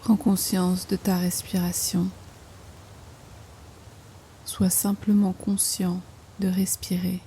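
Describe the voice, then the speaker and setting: A woman guiding a yoga nidra relaxation in slow instructions, with hushed, breathy phrase endings and an unhurried manner. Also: on the low side, flat delivery, slight background noise. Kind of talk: guided meditation, slow soothing instruction.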